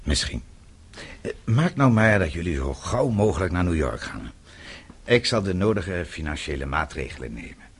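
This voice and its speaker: An actor in a radio drama playing an authoritative middle-aged father-in-law, measured, paternal and formal, with a husky voice. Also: sits low, sing-song, background noise. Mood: grave, guarded. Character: authoritative, unruffled father-in-law advising a younger man.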